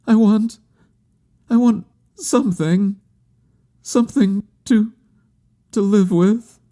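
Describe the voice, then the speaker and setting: Man voicing a grieving, high-pitched devoted woman. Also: steady tone, slow pace, dark tone. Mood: heartbroken pleading. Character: grieving, devoted woman in mourning, pleading and intense. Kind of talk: dramatic literary audiobook character acting.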